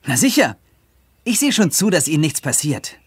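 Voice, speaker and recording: A man voicing a polite, reassuring teenage boy in a children's game, helpful and expressive. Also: a little high, moderate pace, slight background noise. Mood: friendly, reassuring. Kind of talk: scripted children's game dialogue.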